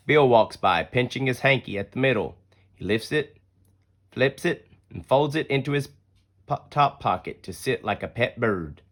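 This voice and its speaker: A man reading a children's picture book aloud as an expressive, deliberate storyteller. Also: mid-range voice. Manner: deliberate storytelling with acted-out dialogue. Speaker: story reader.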